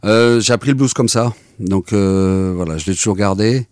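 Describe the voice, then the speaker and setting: A French man, a blues singer, answering interview questions in a hesitant, halting way with many fillers. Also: on the low side, a little mellow, no echo, clean studio sound. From France. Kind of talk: casual interview.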